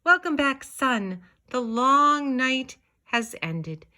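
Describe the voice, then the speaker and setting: A woman's voice: sits high, animated, slow pace, sharp tone, voice pushed up, full character voice. A woman voicing a playful young boy from the Arctic in a children's storybook. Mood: glad.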